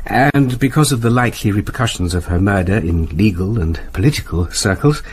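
An actor playing a formal, pedantic British senior lawyer who speaks haltingly in a radio drama. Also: male voice, fairly low pitch, expressive, lightly acted. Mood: fussy, faltering. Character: fussy, formal male senior lawyer, faltering.

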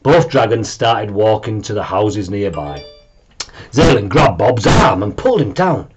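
Slow, expressive man reading a story. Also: on the low side, highs cut off, music in the background. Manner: expressive, deliberate. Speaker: storybook reader. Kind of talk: animated children's story narration. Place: small room at home, indoors.

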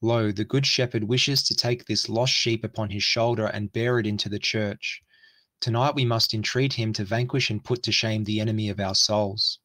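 Male reader as righteous bishop, low pitch, solemn, exhorting, archaic storybook speech, dry recording. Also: fairly even intonation, normal speed, sharp tone, dry recording. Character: stern devout bishop saint, commanding and righteous.

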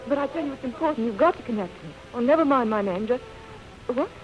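A woman's voice: on the high side, expressive, quick pace, soft background music, close to an everyday voice. Young society daughter woman actor, anxious and urgent, 1930s radio drama, dull old recording.

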